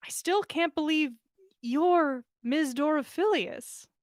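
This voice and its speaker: A woman's voice: high-pitched, slow pace, no echo, studio quality, voice pushed up. A woman voicing an awkward, sincere young man with a hesitant, earnest way of talking in a story reading. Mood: sympathetic, amazed. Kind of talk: fan fiction story reading.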